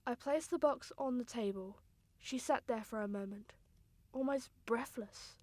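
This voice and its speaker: A woman playing a grieving young sister, narrating a radio drama in an earnest, sombre, deliberate way. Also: a little high, darkish tone, no room sound, natural voice. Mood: heavy-hearted, urgent.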